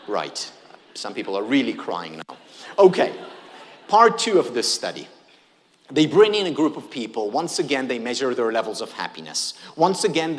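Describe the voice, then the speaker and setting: A man's voice: medium pitch, big-room sound. A man whose first language is Hebrew gives a conference keynote, engaging the audience with questions and humor. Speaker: keynote speaker.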